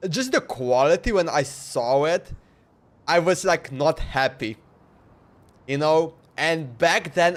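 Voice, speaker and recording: A man chatting casually on a game livestream in an informal, slangy, repetitive way. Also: fairly high pitch. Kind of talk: casual stream chat.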